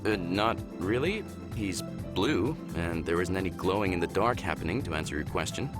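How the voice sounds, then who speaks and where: A flustered young spaceship pilot in a game, really animated and kinda awkward, music playing under it. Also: male voice, deep voice, moderate pace. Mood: explaining. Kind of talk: visual novel game voice acting.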